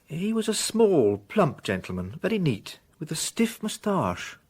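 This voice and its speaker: Polite, animated hotel receptionist. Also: male voice, fairly high pitch, measured pace, slightly rough, full character voice. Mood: helpful, apologetic. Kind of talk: radio drama dialogue.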